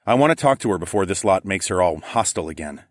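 A man's voice: low-pitched, animated, quick pace, no echo, studio quality. A man voicing a firm, protective, sardonic male group leader in an audiobook. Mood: calm.

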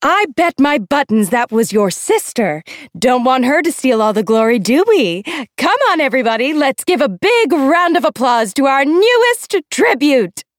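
Casting: showy, fussy lady presenter for a storybook, high trilling delivery played by a female narrator. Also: very animated, moderate pace, no room sound, studio quality. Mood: gushing, bubbly. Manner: gushing, trilling, chirpy.

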